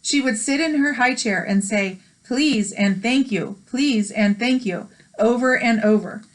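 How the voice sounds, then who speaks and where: A woman's voice: on the high side, measured pace, low-quality recording. An American woman hosting a read-aloud, reading a picture book with chatty, encouraging asides.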